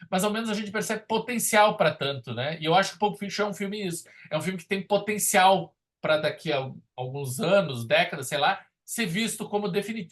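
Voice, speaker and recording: Animated southern Brazilian man discussing film. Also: high voice. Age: 40s. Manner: enthusiastic, emphatic, repeats and rephrases himself. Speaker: podcast co-host and film critic.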